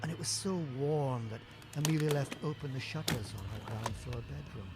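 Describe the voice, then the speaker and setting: A man's voice: mid-range voice, moderate pace. A man narrating a scripted gothic horror tale in a theatrical, suspenseful storytelling manner with swelling emphasis. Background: music.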